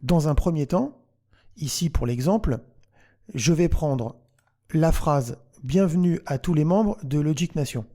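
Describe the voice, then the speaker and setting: A man narrating a software tutorial, explaining things step by step as the host. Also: on the high side, expressive, measured pace.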